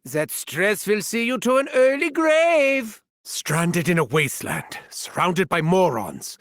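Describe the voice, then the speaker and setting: A man's voice: high voice, big pitch swings, slow pace, a bit bright, no room sound, studio quality, full character voice. A man playing a gleefully sadistic, arrogant German mad scientist in a video game, with a German accent and a theatrical, pompous, sneering delivery. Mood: mocking, sly. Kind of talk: video game villain voice lines.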